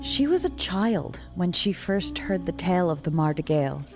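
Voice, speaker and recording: A woman narrating a scripted dark folk tale as a storyteller, in a measured, solemn and dramatic way. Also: on the low side, big pitch swings, over music. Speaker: storyteller, guest writer reading own story.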